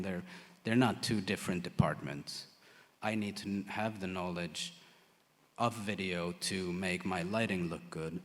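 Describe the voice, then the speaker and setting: A man who works as a lighting designer, answering audience questions in a halting way with many fillers and restarts. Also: sits low. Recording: long hall reverb.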